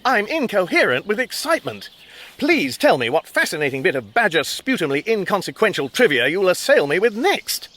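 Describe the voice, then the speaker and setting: A man's voice: high voice, sing-song, brisk, a bit bright, exaggerated voice. An actor playing an exasperated, sarcastic middle-aged man stranded on a backwater planet, with an English accent, in a radio comedy drama. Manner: sarcastic, peevish.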